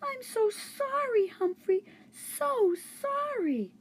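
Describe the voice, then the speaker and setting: A woman voicing a caring young girl who speaks high, soft and tearful in a storybook reading. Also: animated, slow pace, slight background noise, full character voice, low-quality recording.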